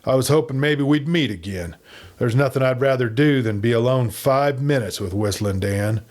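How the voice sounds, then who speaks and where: A man's voice: mid-range voice, normal speed, close to an everyday voice. A man voicing a hard, angry outlaw boss in a western story, blunt and brooding.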